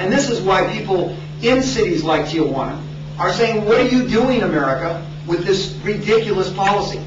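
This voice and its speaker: A man giving an emphatic political statement at a news conference, with some fillers. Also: on the high side. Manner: emphatic, with uh fillers and quoted outbursts. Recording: echoey, distant, dull, noisy.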